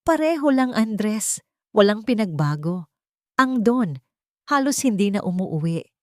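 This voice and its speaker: A hesitant older Filipino woman , put on by a woman narrator speaks with resignation. Her voice is raised in pitch, as in a dramatic story reading, and recorded dry. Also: sing-song, a little slow, darkish tone, dry recording. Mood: hesitant, resigned. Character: hesitant older woman sharing sad news.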